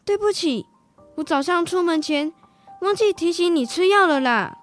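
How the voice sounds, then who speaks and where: A very high-pitched little Taiwanese boy. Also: expressive, moderate pace, soft background music. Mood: concerned, caring. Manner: childlike, earnest. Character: earnest young grandson, devoted to grandpa. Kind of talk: radio drama acting.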